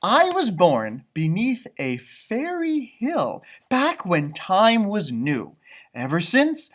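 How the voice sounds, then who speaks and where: A man voicing a small, grumpy, boastful old leprechaun telling his own story in a storybook read-aloud. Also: a little high. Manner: feisty, boastful, theatrical storytelling.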